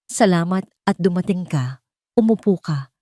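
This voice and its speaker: A woman voicing a male ex-lover, a scheming businessman who speaks calmly but with threat, in an audio drama. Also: on the low side, a little slow, no echo, studio quality. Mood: calm menace.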